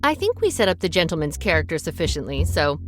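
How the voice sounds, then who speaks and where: A woman's voice: deep voice, big pitch swings, soft background music. An actress playing a headstrong young woman who narrates her own story wryly and bosses her friend around. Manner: wry, brisk storytelling. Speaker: narrator and boss. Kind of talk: radio drama narration and acting.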